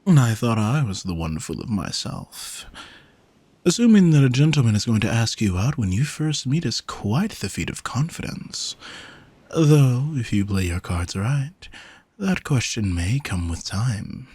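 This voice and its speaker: A man playing an alluring sea monster posing as a vain, flirty gentleman in an audio roleplay, speaking softly and formally in a haughty, teasing way. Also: mid-range voice, very animated, a little slow, breathy edge, no echo, full character voice. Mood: smug, flirty. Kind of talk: scripted audio roleplay, spoken to the listener.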